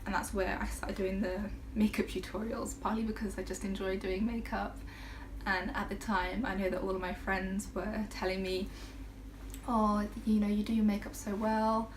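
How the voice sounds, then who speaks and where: A woman's voice: medium pitch, level tone, moderate pace. Chatty young woman vlogging, noisy background. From United Kingdom. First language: half Brazilian, Portuguese spoken at home. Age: 20s. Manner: chatty and rambling, many "um" fillers, soft laughs. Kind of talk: casual chat to camera. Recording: noticeable background noise.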